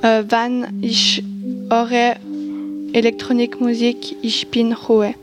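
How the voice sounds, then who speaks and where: A woman's voice: on the high side, monotone, slow, over music. A female student gives a classroom presentation in careful, broken learner phrasing.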